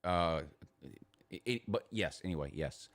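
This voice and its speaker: A man with a deep voice and a Southern US accent bantering about sports. He is emphatic and cocky, often circles back over his own phrases and swears casually. The sound is very dry. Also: lively intonation, unhurried, dry recording. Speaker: morning show host.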